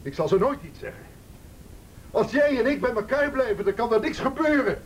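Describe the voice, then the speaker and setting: Menacing, high-pitched desperate man. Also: lively intonation, fairly quick. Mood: pleading. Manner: coarse, commanding, swinging between threats and pleading. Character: menacing, desperate man bullying and pleading with his partner. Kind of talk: Dutch radio play acting. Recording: noisy radio play recording with rumble.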